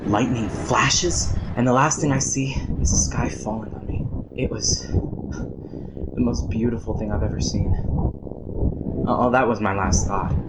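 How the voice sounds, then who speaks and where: An actor playing a wistful, nerdy storm chaser who survived the apocalypse, rambling reflectively in a radio drama monologue. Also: male voice, unhurried, long pauses. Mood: awestruck. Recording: noisy radio transmission, vehicle rumble.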